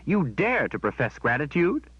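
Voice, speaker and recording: Man voicing a defiant young woman, high, indignant, accusing, lively pitch, dull dry recording. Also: brisk, no room sound.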